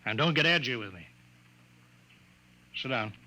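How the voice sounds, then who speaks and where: An actor playing a gruff, bigoted senior colonial official in 1950s radio style, with a brusque, bossy, slangy delivery. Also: male voice, mid-range voice, expressive, unhurried, bright, forceful tone. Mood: stern, bossy. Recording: vintage 1950s radio drama recording.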